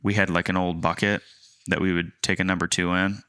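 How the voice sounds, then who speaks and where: A man around thirty co-hosting a podcast, telling a personal story in a casual, halting, rambling way. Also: sits low, level tone, pushed and bright, smooth, dry recording. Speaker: podcast co-host, land agent.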